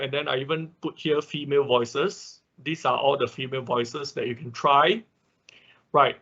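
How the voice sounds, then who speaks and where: A male instructor leads an informal online technical lesson in non-native English. His voice is sharp-edged and somewhat raspy at a middle pitch. He explains things by restating them and checking that listeners follow. The sound is slightly dull, like a lo-fi online call. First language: not English. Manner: explanatory, often checking in with listeners, repeating himself. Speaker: instructor of an online robotics class.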